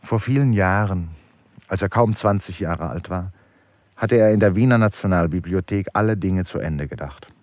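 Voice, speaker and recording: A man narrating a literary radio play in a grave, measured and dramatic manner. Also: low voice, a little mellow, dry recording.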